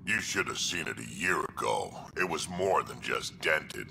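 Older alien grandfather, extremely low, gravelly, tense; faint station hum behind. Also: expressive, measured pace, bright, forceful tone, full character voice. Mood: gruff fondness. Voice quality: deep gravelly growl. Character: gruff older male krogan grandfather.

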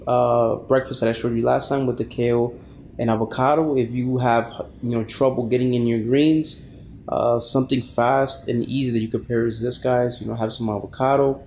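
A man's voice: mid-range voice, darkish tone, slight background noise. A man giving an informal how-to cooking voice-over as a fitness vlogger, addressing viewers casually.

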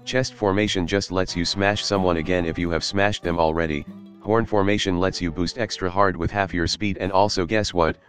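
Male reviewer, very low voice, relaxed scripted delivery with the odd curse, faint music bed. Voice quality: casual, swears for emphasis. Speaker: YouTube game reviewer. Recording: light guitar music behind.

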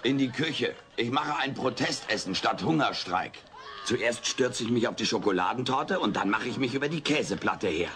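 Furry alien wisecracker, played by a man: greedy, smug sarcasm, animated delivery, noisy tape. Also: mid-range voice, normal speed, close to an everyday voice. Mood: indignant. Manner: sarcastic, glib. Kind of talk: comedy radio play dub. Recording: noisy old cassette transfer.

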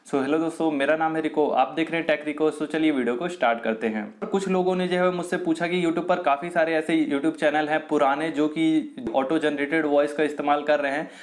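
Young guy doing a tech explainer, slightly high voice, talks right to viewers. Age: 20s. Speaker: tech YouTube host. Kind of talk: explainer to camera. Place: small room indoors.

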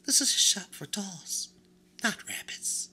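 A man voicing a vain, haughty female china doll in a high-pitched, breathy whisper. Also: wildly swooping pitch, measured pace, pushed and bright, heavily acted. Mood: scornful. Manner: snooty and scornful.

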